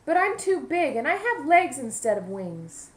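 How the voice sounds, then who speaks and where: A woman's voice: sits high, big pitch swings, normal speed, roomy sound, voice pushed up. A woman voicing a small, wistful caterpillar who longs to fly, wishful and easily discouraged, in a children's story. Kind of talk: storybook read-aloud for young children.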